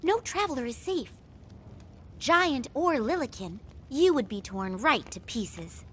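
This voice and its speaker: A woman's voice: high voice, expressive, a little slow, a bit bright, a little hiss or hum. A woman voicing a plucky, bossy but kind-hearted little innkeeper in a fantasy video game. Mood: exasperated, warning. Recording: faint game music behind.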